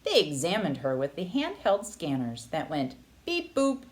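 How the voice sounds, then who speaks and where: Very animated woman reading a storybook. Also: on the low side, a little slow. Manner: expressive, dramatic phrasing. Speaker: read-aloud storyteller. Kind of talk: children's picture-book narration.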